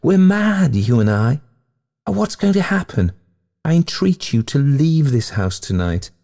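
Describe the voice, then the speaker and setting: A man voicing a melodramatic, lovelorn female ghost in a storybook, breathy and wailing, with theatrical old-fashioned romantic exclamations. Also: fairly high pitch, big pitch swings, a little slow, mellow, voice pushed up, full character voice. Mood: rapturous, pleading.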